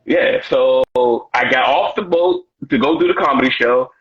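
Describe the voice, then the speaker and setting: A bright, husky-edged male voice tells loose, slangy stories full of "you know" and "man". He is a stand-up comedian from Washington, D.C., in his thirties, talking as a guest. The audio is dull and lo-fi. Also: mid-range voice, moderate pace. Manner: laid-back slangy storytelling with frequent "you know". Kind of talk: casual interview chat.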